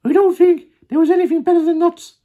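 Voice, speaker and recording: A man voicing an excitable, indignant little monkey with an agitated, squealing delivery in a children's storybook. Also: very high-pitched, quick pace, phone-quality sound, pitched above the performer's own voice. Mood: alarmed, indignant.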